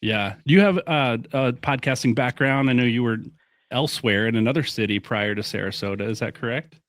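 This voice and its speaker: A man's voice: medium pitch, a little fast, fairly bright tone, no room sound, clean studio sound. Upbeat man hosting a soccer podcast. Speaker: podcast host. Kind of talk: scripted intro then casual podcast chat.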